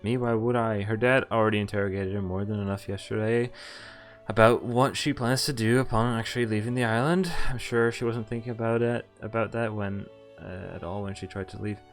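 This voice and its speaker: A man's voice: on the low side, steady tone, brisk. A man streaming a visual novel, reading its text aloud in an informal, jokey way and stumbling now and then as he adds casual asides. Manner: informal, jokey, stumbling over read lines. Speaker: video game streamer. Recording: music playing behind the voice. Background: game music.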